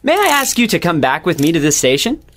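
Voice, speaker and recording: A man's voice: a little high, wildly swooping pitch, fast talker, fairly bright tone, slight background noise. A man playing a courteous, admiring police officer in an audio drama.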